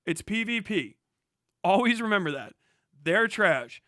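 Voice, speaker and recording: A man's voice: high voice, lively intonation, measured pace, a bit bright, no echo, studio quality. A man livestreaming casual, opinionated commentary on local news, speaking in a blunt, profane and emphatic manner.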